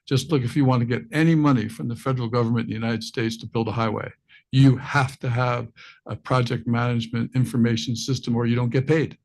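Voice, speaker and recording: Panelist, male, mid pitch, straightforward explanatory answer. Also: steady tone, fast talker, a little mellow. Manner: direct, explanatory. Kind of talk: roundtable answer. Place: press club conference room.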